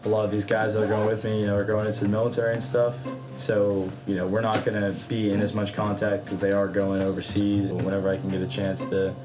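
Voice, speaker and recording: A man's voice: lowish voice, monotone, quick pace. A teenage young man who leads fellow cadets at a military academy, talking casually in an interview. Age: teens. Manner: casual, loose with fillers. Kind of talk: documentary interview. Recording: music bed under interview. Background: music.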